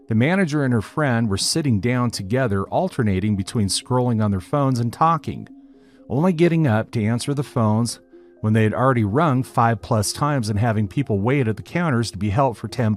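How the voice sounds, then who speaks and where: A man narrating a written online story in a steady, even reading style. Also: mid-range voice. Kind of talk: scripted narration of a reddit post. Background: faint background music.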